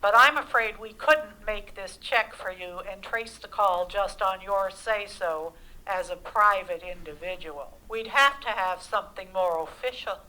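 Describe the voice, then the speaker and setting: A woman's voice: medium pitch, measured pace, sharp tone, poor audio. An actress playing a polite, officious telephone operator in a radio suspense play, formal and procedural in her delivery. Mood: polite, unbending. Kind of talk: old-time radio suspense play acting. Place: live theatre stage.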